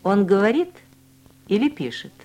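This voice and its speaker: A woman reading language-course drills and dialogues with slow, deliberate, carefully enunciated speech. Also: medium pitch, big pitch swings, a little mellow. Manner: clear, deliberate articulation of each word.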